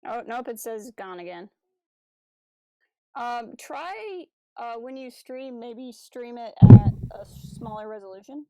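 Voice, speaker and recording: A woman's voice: a little high, lively intonation, slow, dark tone, no room sound, rough recording. A woman co-hosting a podcast, talking in a casual, conversational way. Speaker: podcast co-host. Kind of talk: casual chat.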